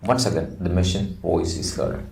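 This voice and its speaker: A middle-aged man with an Indian accent teaches an English lesson, reading a script aloud and explaining it in a deliberate, didactic way with emphatic phrasing. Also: low voice, gravelly, darkish tone. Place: indoor room. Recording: roomy echo, distant microphone.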